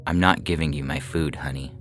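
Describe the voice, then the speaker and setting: Man voicing a weary mother, gently reassuring. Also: extremely low pitch, steady tone, a little fast. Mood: weary, resigned. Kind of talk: audio short story character reading. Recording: music behind the voice.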